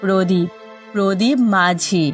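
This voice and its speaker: A woman's voice: mid-range voice, fairly even intonation, takes it slowly, soft background music, lightly acted. A woman voicing a proud, older widowed village mother in a cartoon, speaking in an indignant, folksy way. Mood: wounded pride. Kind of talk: cartoon dialogue.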